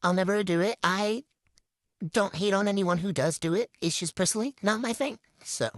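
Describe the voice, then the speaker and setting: A woman's voice: on the low side, no room sound, clean studio sound. A young woman hosting a casual question-and-answer vlog. She reads each question aloud, then answers in a chatty, candid way full of ums and likes. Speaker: channel host.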